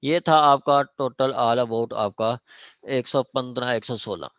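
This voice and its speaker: A man's voice: medium pitch, takes it slowly, fairly bright tone, clear, resonant tone, dry recording, dull audio. A man with a Pakistani accent lecturing on law online, explaining with examples and frequent check-in tags, mixing in English legal terms.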